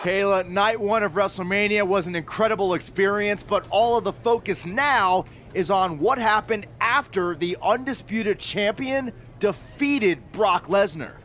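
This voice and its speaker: An earnest wrestling show presenter in a game, polished and pitched high. There is faint noise behind the broadcast delivery. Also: male voice, a little slow, fairly bright tone.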